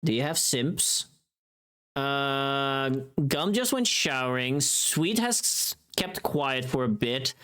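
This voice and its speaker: A woman with a deep voice chatting on a livestream. Her delivery is unhurried but very animated in pitch, with playful teasing and loose, informal phrasing as she thinks out loud. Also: clean tone. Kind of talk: casual livestream chat.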